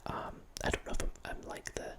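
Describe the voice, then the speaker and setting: Whispering man rambling close to the mic. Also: a little fast. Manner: rambling, self-correcting, casual. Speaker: host. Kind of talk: whispered ASMR horror film ramble. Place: small home room with bookshelf. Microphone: studio mic close up, headphones.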